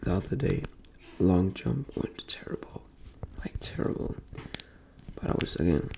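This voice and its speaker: A young man from Denmark speaking soft, breathy ASMR in a gentle near-whisper, repeating phrases as he talks in a personal, motivational way. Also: low-pitched, expressive, unhurried. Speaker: ASMR creator and host.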